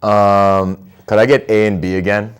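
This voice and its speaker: A man's voice: lowish voice, little pitch movement, unhurried, noisy background. A young man chatting casually as a contestant on a quiz. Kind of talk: casual chat.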